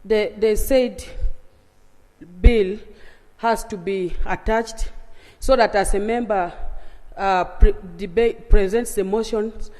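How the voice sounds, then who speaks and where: A member of parliament raising a procedural point of order, speaking briskly and persistently with some restarts. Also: medium pitch, fairly even intonation, darkish tone. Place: large legislative chamber. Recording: long reverb of a large chamber.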